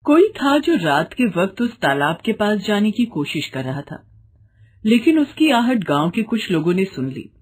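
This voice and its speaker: Husky woman narrating a fairy tale. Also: mid-range voice, big pitch swings, measured pace. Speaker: storyteller narrator.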